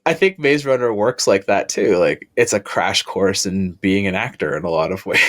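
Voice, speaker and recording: Man, panelist, loud voice, swears a lot, rambling, filler-heavy casual chat. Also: deep voice, normal speed.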